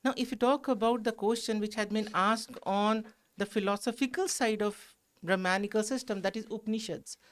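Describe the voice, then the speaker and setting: Indian guy teacher breaking down exam questions, crisp clean voice, stresses his points. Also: high voice, steady tone, normal speed. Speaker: history teacher at exam coaching institute. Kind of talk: explanatory exam analysis.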